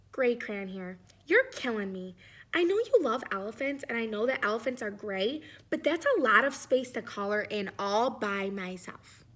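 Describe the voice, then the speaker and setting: A woman's voice: high-pitched, fairly bright tone. A woman voicing a very tired, exasperated gray crayon in a storybook, complaining emphatically.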